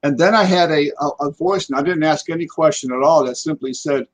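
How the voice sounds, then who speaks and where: A man's voice: on the high side, fast. A man in casual conversation as a guest paranormal investigator on a live talk show, rambling and repeating words as he goes.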